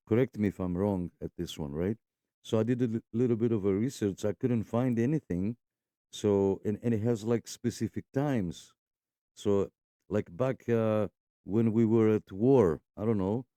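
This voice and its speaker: Male radio hobby host, low warm voice, dry sound, casual rambling delivery with fillers. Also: smooth, no echo. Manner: chatty, rambling with many fillers. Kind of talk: casual show-and-tell.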